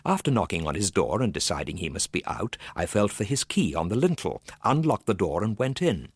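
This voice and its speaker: An actor playing an overwrought, gloomy minor civil servant in a radio ghost story, theatrical and suspenseful. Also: male voice, sits low, very animated, brisk, slightly rough, dry recording, studio quality, exaggerated voice. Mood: gloomy unease. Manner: theatrical, suspenseful, dropping at times to hushed asides. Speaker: actor narrating a radio drama. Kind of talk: dramatic first-person ghost story narration for radio.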